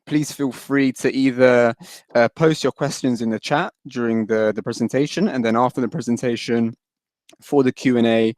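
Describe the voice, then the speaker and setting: A man's voice: medium pitch, fairly even intonation, normal speed, darkish tone, no room sound. A man hosting a webinar, introducing the guest and explaining housekeeping in a casual way, often saying "uh" and "so". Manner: casual, fills with "uh" and "so". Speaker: webinar host. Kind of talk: moderating an online webinar. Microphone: video call.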